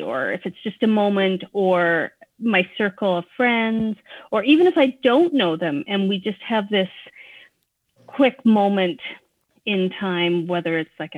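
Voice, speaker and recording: A woman's voice: on the low side, no echo. Woman co-host, thin phone-like sound. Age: 50s. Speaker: podcast co-host. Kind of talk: casual conversational discussion.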